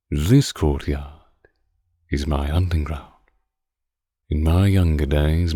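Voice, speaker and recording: An extremely low-voiced, ancient vampire count played by a man speaks in an unhurried, courtly way. His tone is dark and velvety, teasing and condescending as he toys with his prey. The roleplay audio is close and dry. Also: dry recording, exaggerated voice. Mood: quietly menacing. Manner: formal, archaic, teasing and condescending. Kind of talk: slow intimate roleplay audio monologue.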